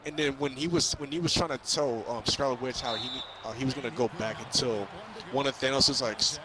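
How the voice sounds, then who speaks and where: Young guy rambling through a movie recap, excitable, keeps searching for words, crowd n basketballs bouncing behind him. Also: medium pitch, a little fast, low-quality recording. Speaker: vlogger reviewing a film. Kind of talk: casual chat, movie recap.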